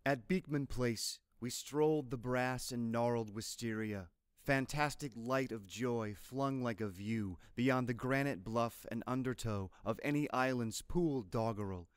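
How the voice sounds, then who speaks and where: Slow, smooth-voiced man reciting poetry. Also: medium pitch, dry recording, clean studio sound. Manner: measured, deliberate, literary delivery. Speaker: poetry reader.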